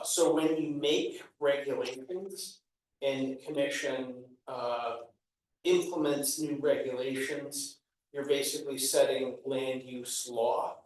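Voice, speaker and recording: A man on a town commission explains land use procedure at a measured pace, with plenty of ums and you-knows. He sounds far from the microphone in a very echoey meeting room. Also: mid-range voice, slight background noise. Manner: measured, explanatory, many fillers. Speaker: commission member. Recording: echoey room, distant mic.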